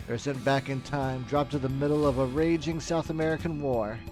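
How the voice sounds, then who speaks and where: A man's voice: medium pitch, fairly even intonation, brisk, over music, close to an everyday voice. A man reading out melodramatic video game ending text as a storyteller. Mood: grave storytelling.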